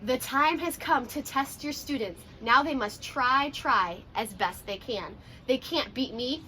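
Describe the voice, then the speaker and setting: A woman plays a cocky little gingerbread man ninja from a children's storybook. The voice is high and bright, and it chants its teasing boasts in a sing-song rhyme. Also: a little slow, slight background noise. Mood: boastful, taunting. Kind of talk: children's storybook read-aloud.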